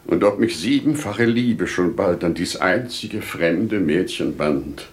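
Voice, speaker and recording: An actor playing a wise, devout older merchant father in solemn, declamatory, deliberate 1950s radio play style. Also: deep voice, animated. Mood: resolute. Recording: 1950s radio drama recording.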